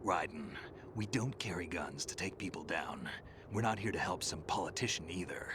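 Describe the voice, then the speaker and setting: A man voicing a gravelly, very low-voiced veteran soldier. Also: normal speed, natural voice. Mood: stern. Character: gruff veteran male soldier, terse and stoic. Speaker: game character. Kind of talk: video game cutscene voice acting. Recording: game audio with ambient noise.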